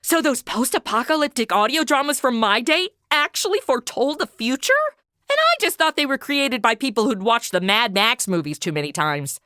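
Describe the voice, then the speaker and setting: A woman's voice: a little high, lively intonation, moderate pace, pushed and bright, dry recording, studio quality. A woman playing a sarcastic wisecracking young woman. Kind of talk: comedy audio drama acting.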